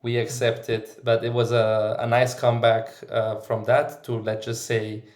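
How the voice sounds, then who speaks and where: A man of middle pitch chats casually as a podcast guest, answering with dry humour. His voice carries a noticeable roomy echo. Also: monotone, normal speed, a little mellow. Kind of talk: casual chat.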